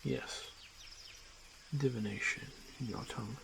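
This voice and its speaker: A man voicing a stoic, protective orc warrior in a fantasy ASMR roleplay, speaking in terse, measured phrases. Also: mid-range voice, steady tone, vocal fry, noisy background. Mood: calm, reassuring. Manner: measured, halting, terse phrases with solemn pauses.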